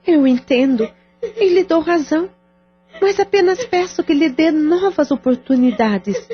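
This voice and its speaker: Caring friend, coaxing, reassuring, fairly high voice, audio drama. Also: female voice, animated, a little slow. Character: caring, persuasive female friend. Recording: dull lo-fi audio with faint music.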